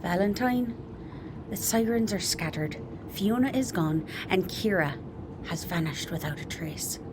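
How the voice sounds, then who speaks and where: A woman playing a grieving, stern leader of the Sirens in a tabletop roleplay, speaking in a measured, grave way. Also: mid-range voice, lively intonation, noisy background, lightly acted.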